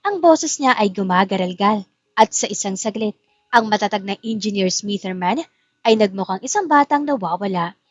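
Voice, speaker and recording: A woman's voice: mid-range voice, expressive, normal speed, dry recording. Woman narrating a drama, dry dull recording. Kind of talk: dramatic scripted story narration. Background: music.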